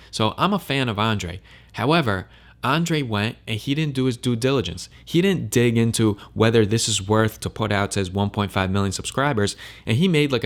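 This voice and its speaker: A man giving blunt, emphatic opinion commentary on a crypto controversy. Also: medium pitch.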